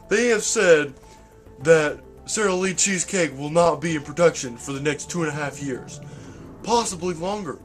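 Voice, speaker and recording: An earnest, folksy parody news anchor, put on by a man, with a fairly high voice. He gives dramatic, mock-grave bulletins over faint background music. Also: natural voice.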